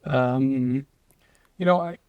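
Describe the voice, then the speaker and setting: A man on a company podcast panel, talking in a relaxed, upbeat way. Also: mid-range voice, slow, smooth, no room sound, muffled recording. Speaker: employee ambassador.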